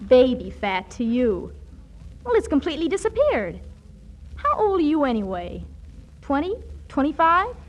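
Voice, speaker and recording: A woman's voice: high voice, sing-song, moderate pace, pauses often, muffled recording, a little hiss or hum. An actress playing a precocious, blunt girl scientist who uses big words and dry wisecracks in a 1940s radio comedy. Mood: blunt, probing.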